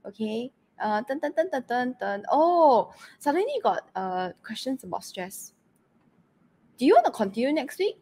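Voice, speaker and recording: Brisk teasing woman podcast host. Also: on the high side, sing-song, mellow. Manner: brisk, teasing, frequent laughs, sentence-end particles. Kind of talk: casual podcast chat.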